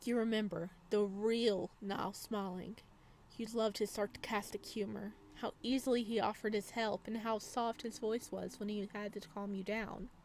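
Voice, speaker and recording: A woman's voice: medium pitch, steady tone, moderate pace, a little mellow, a little hiss or hum. A woman gaming YouTuber reading visual novel text aloud, mixed with casual commentary.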